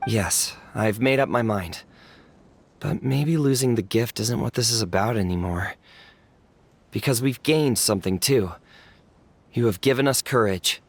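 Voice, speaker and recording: A man playing an earnest, humble, courteous subject of a monarch in an anime game, speaking sincerely and in measured phrases. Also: mid-range voice. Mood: sincere, apologetic. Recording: music under the voice.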